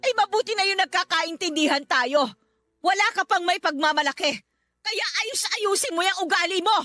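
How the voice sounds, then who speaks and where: A woman's voice: very high-pitched, moderate pace, pushed and bright, no echo, studio quality. A Filipino woman playing a haughty, domineering older mother-in-law in a radio drama, scolding and taunting.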